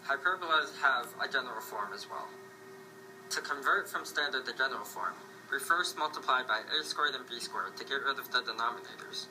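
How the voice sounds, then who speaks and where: A young man presents scripted math material in the manner of a student. His pitch is middling, and music plays clearly behind him. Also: a little fast, rough recording. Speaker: student presenter in a puppet show. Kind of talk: scripted math lesson narration. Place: classroom.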